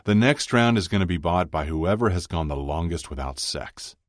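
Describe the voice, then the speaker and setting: Audiobook character, male narrator acting: hearty big guy, booming and commanding, animated delivery, dry recording. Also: moderate pace, no room sound. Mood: hearty, bossy. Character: big jovial man with a booming, happy voice.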